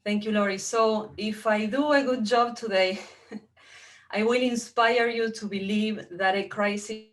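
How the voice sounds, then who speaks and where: A woman's voice: mid-range voice, steady tone, measured pace. A middle-aged woman from Argentina, a native Spanish speaker with an Argentine accent, telling her personal story as a motivational guest speaker. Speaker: guest speaker, entrepreneur and coach.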